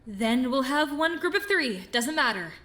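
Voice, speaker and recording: A high, sharp-edged young student leader, acted by a woman in an animated mystery. Bossy and impatient, this character hands out orders in curt, clipped phrases. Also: moderate pace. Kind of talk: dramatic animated mystery voice acting.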